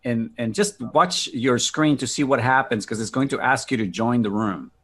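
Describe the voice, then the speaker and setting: A man's voice: medium pitch, normal speed, fairly bright tone, breathy edge. A man talking casually through a technical demo on a video call, using earbuds. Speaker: co-host demonstrating video meeting features.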